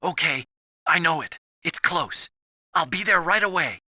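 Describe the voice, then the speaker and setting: An actor voicing a timid, soft-hearted young male scientist in a video game, earnest and anxious as he explains things. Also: on the high side, animated, measured pace, no echo, clean studio sound, exaggerated voice. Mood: brisk.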